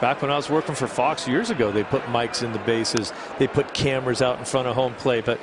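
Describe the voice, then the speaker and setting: A man's voice: medium pitch, a little fast, noisy background. A man talking as a baseball color analyst in the booth, rambling through anecdotes with repeated words. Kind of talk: broadcast booth banter.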